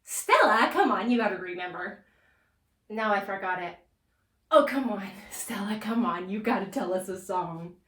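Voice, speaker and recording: Female children's storyteller, bright and very lively, playful and coaxing, slightly sing-song puppet show hosting. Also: medium pitch, normal speed, roomy sound.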